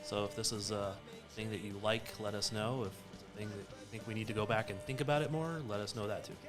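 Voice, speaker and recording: A man's voice: fairly low pitch, fairly even intonation, fast, music in the background. A man co-hosting a soccer podcast in casual discussion, explaining his points with emphasis. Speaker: sports podcast co-host. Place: recorded in person in a shared room.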